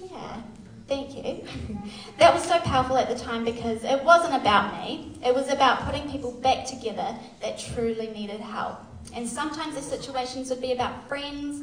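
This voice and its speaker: A woman, giving a candid, self-deprecating church testimony full of fillers. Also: on the high side. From Australia. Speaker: youth worker sharing testimony. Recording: echoey hall, voice far from mic.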